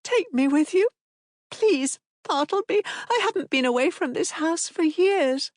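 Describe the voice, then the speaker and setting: A woman's voice: very high voice, expressive, unhurried, no echo, clean studio sound, pitched above the performer's own voice. A woman voicing a downtrodden, fragile wife and mother who speaks in a tremulous, pleading way.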